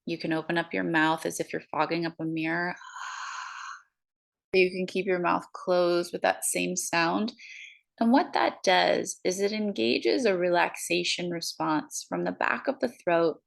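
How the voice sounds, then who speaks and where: A woman guiding a breathing meditation, counting slowly in a soft, soothing way. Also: on the low side, vocal fry. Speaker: meditation guide.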